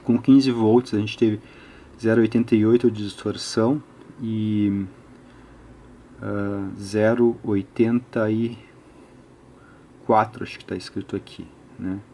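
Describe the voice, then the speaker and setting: A man's voice: fairly low pitch, flat delivery, takes it slowly, long pauses, warm tone, a little hiss or hum. A Brazilian man giving an unscripted technical tutorial, methodically thinking aloud and often adding short tag questions. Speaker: electronics hobbyist presenter.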